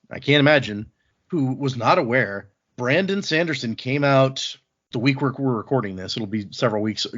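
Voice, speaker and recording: A man's voice: mid-range voice, a bit bright. Casual man podcasting, slightly dull audio. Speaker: podcast host.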